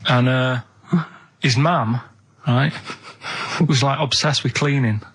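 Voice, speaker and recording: A man's voice: medium pitch, fairly even intonation, slow pace, fairly bright tone, breathy edge. A man, co-hosting a radio show and telling a casual, rambling anecdote in an earnest, plain-spoken way. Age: 30s. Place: radio studio.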